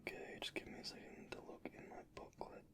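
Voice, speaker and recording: An ASMR performer role-playing a medical examiner, whispering gentle instructions and reassuring praise. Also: fairly quick.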